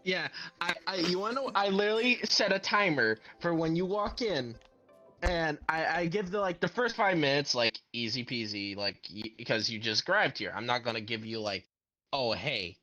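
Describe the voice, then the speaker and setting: Male gamer, fairly high voice, halting explainer in casual role-play chat, over music. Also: animated, bright, forceful tone, highs cut off. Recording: music playing behind the voice.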